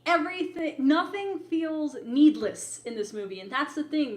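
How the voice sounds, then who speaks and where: Echoey, higher-pitched woman chatting casually. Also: slight background noise. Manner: talkative, opinionated, informal. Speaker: podcast co-host reviewing films. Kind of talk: casual chat, film commentary. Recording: echoey, roomy sound.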